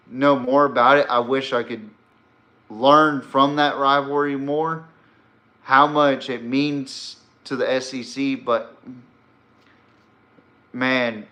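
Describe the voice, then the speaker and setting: A man's voice: medium pitch, sharp tone. An American man hosting a podcast, giving casual, opinionated sports commentary in an informal way.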